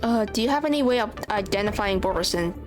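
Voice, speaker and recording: A woman's voice: mid-range voice, expressive, quick pace. A woman streaming a game playthrough with casual, laid-back commentary, sometimes reading choices aloud. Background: game music.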